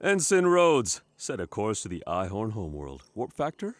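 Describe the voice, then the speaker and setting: A man's voice: fairly low pitch, wildly swooping pitch, normal speed, dry recording. A man playing a brash, self-important spaceship captain in a comedic sci-fi play, theatrical, commanding and easily exasperated. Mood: impatient command.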